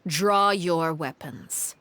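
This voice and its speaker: A woman's voice: fairly low pitch, extremely slow, a bit bright. A woman playing a cold, commanding leader in a dramatic video game, formal, stern and composed.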